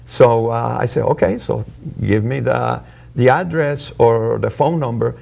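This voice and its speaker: Slow, gravelly man, born in Puerto Rico. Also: medium pitch, a little mellow. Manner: halting, many fillers and restarts. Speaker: guest. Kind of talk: telling his story in an interview.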